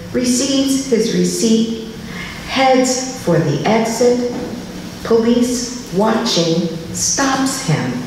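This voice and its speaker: A woman giving a live spoken-word poetry reading, with dramatic, deliberate delivery and informal asides. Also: fairly low pitch. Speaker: poet reading at a literary festival. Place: live public reading in a reverberant room. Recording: echoey hall, distant mic, faint noise.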